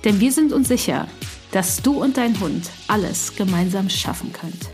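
A woman reading a scripted podcast intro in a measured, upbeat and inviting way. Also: mid-range voice, expressive. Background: soft background music.

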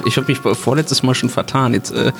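A man's voice: medium pitch, fairly even intonation, fairly quick, creaky, over loud music. A man hosting a podcast in casual chat, talking loosely with many fillers and restarts, and swearing now and then. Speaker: podcast host.

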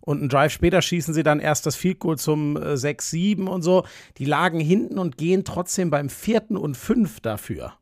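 A man's voice: fairly high pitch, no room sound. Chatty man, dry close sound. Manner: chatty and rambling, many fillers. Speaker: podcast co-host. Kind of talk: casual sports podcast chat. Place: room in a family home.

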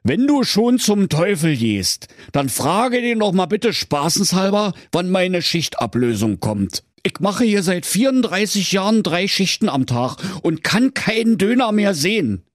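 A fed-up snack-stand seller put on by a man, grumbling and weary. His storybook voice is high and put on, close and dry. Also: sing-song, unhurried, few pauses, no echo.

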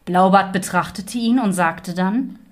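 A woman's voice: medium pitch, unhurried. A woman storyteller reading a scripted fairy tale narration. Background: music.

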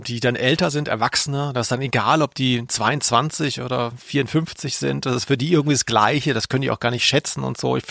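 Man, medium pitch, gravelly fry, casual joking podcast talk, dry sound. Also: a bit bright, no echo. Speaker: podcast host. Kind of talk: casual humorous chat about audio plays.

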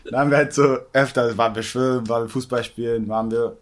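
A man's voice: mid-range voice, steady tone. A young man from Berlin, a podcast guest, telling stories in a loose, slangy way while sharing one microphone with the host. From Berlin, Germany. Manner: quick, loose storytelling with slangy asides. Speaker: podcast guest, former youth footballer. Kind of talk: casual chat, storytelling. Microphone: sharing one mic with the host.

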